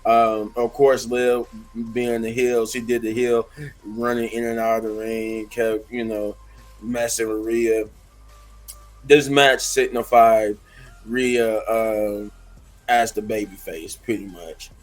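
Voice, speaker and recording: A hoarse man giving a casual, rambling wrestling review, full of fillers and restarts. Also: on the low side, little pitch movement, slow, faint music behind, low-quality recording.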